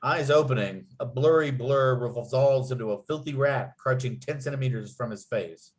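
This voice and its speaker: A man's voice: medium pitch, moderate pace. A man reading script stage directions aloud as narrator, with clipped, dramatic scene headings. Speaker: narrator and writer.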